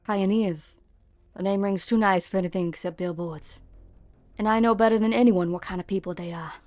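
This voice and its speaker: A woman playing a brusque, sharp-tongued frontier woman in an amateur game fan dub, blunt, slangy and scornful. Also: mid-range voice, fairly even intonation, brisk, no room sound, close to an everyday voice. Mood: dismissive, cynical.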